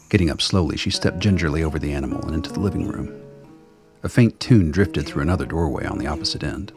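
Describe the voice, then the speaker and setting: Male narrator for a radio play, extremely deep voice, reading scripted prose over clearly audible guitar music.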